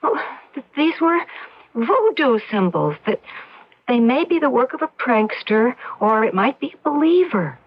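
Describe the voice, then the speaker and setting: An actress playing an anxious, shaken wife in an old-time radio mystery drama. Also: female voice, fairly high pitch, very animated, moderate pace, husky. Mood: worried. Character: anxious, shaken wife, affectionate toward her husband. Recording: dull old radio recording.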